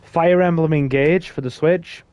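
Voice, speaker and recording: A man's voice: fairly high pitch, fairly even intonation, measured pace, a little mellow. A man hosting a casual gaming stream, chatting with a friend in a rambling way with fillers. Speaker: streamer host.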